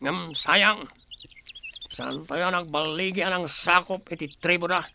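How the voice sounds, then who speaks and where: A male actor as a loyal young warrior in a radio drama. The voice is high and piercingly bright, eager, with faint noise behind it. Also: fairly even intonation, a little slow, creaky, heavily acted. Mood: deferential. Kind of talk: Ilocano radio drama acting.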